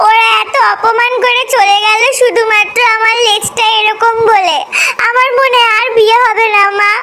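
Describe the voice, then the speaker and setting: A woman voicing a naive, earnest young girl mouse in an animated comedy. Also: very high voice, normal speed, slight background noise. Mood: hurt, wailing.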